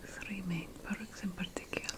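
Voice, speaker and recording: A woman playing an ancient Sumerian witch priestess in a whispered ASMR fantasy role-play, breathy, solemn and deliberate as she addresses an apprentice. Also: medium pitch, steady tone, lightly acted. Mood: mysterious, reverent.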